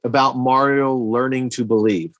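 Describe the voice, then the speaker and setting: A man's voice: mid-range voice, steady tone, normal speed, dry recording. A man in a casual film discussion on a podcast, talking like an enthusiastic fan and thinking aloud as he goes. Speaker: podcast guest or co-host, movie fan.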